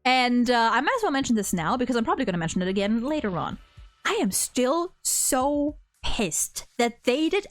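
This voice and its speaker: Female host, higher voice, off-the-cuff and emphatic chat with rambling tangents, light music underneath. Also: fairly bright tone. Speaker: host of a video game story ranking discussion. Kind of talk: casual opinionated chat, unscripted.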